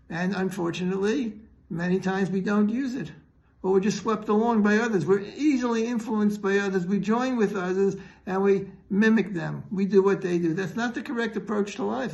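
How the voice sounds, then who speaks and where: A man with a high, gravelly-edged voice teaches religion as a rabbi would. He explains earnestly and didactically, pausing mid-thought as he works through each point. The sound is slightly dull and lo-fi. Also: a little mellow. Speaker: rabbi giving a Torah lesson.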